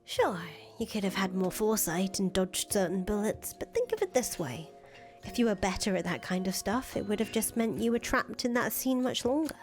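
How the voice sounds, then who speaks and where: A woman's voice: medium pitch, sing-song, normal speed, lightly acted. A woman voicing a patient, loyal young woman in a visual novel, reassuring and casually sweary. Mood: reassuring, reasoning. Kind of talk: voiced reading of visual novel dialogue. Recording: music playing under the voice.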